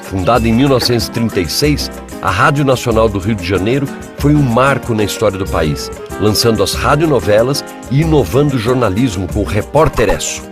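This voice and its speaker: A man's voice: on the low side, lively intonation, brisk. Brazilian man narrating over prominent music. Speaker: documentary narrator. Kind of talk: scripted educational history narration.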